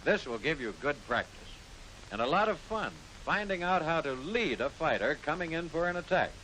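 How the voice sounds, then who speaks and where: A man's voice: expressive, moderate pace, bright, forceful tone, rough recording. An American man reading scripted narration for a 1940s military training film, instructing the trainee directly. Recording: hissy 1940s film soundtrack, rumbling noise.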